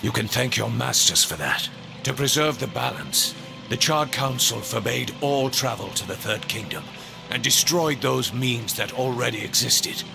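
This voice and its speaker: An actor playing a proud, grave ancient angelic ruler in a video game, grandiose and theatrical, with a heavily gravelly voice. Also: male voice, mid-range voice, moderate pace. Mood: grave, imperious. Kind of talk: video game cutscene acting. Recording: music behind the voice.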